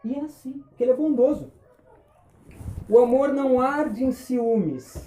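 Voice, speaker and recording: A man preaching a sermon, impassioned, firing rhetorical questions and dropping between loud and hushed. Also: very high-pitched, a little slow, roomy sound. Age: 20s.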